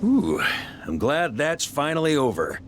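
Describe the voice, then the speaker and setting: An actor playing a hearty, commanding grandmaster of knights in a video game, informal and rallying. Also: male voice, fairly high pitch, very animated, a little slow, slight background noise. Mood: easygoing. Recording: music behind the voice.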